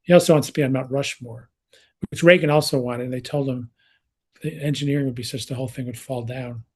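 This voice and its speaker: A man's voice: medium pitch, steady tone, fast talker, husky. A man in his sixties speaking on a panel in a conversational way, with many restarts and fillers, over a video call. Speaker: researcher and speaker. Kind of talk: panel talk.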